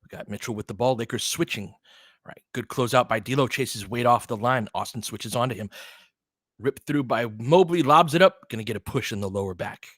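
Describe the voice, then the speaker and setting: A man's voice: mid-range voice, a little fast, fairly bright tone, dry recording. A man with a mid-range voice commentates on basketball as a streamer and host. He moves between clipped play-by-play phrases and conversational, slangy chat. The sound is dry, with hardly any room in it. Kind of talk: casual fan commentary, play-by-play mixed with chat.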